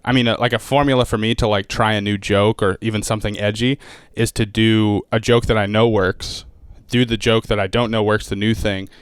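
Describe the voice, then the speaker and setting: A young male comedian talks shop as a podcast guest. He rambles quickly, leaning on "like" and restarting his sentences. His voice is smooth and clear in a dry, close sound. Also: lowish voice, fairly even intonation, dry recording. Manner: fast, rambling, heavy use of "like". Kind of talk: casual podcast chat.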